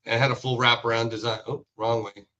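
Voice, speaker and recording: A man's voice: mid-range voice, level tone, fast talker, pushed and bright, highs cut off. A man from Hawaii, a podcast guest chatting casually in a laid-back, talkative way about his truck build. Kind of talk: casual chat.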